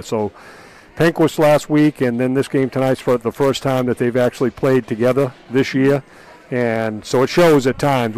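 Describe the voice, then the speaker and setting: Middle-aged man, Maine accent, basketball coach, postgame, unhurried and full of fillers. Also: medium pitch, a little mellow, low-quality recording. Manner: measured, thoughtful, many fillers. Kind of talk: postgame interview. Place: school gym, indoors. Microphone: headset mic.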